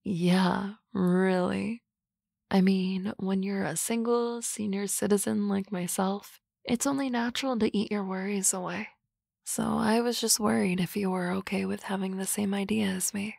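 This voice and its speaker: A woman voicing a sardonic, teasing office-lady boss in an ASMR roleplay, with dry banter and soft whispery asides on a close mic. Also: medium pitch, steady tone, measured pace, gravelly, dry recording.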